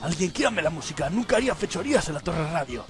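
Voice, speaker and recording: A woman's voice: lowish voice, sing-song, fast talker, music in the background, pitched below the performer's own voice. Woman putting on a swooping, melodramatic cartoon heroine. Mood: mock earnest.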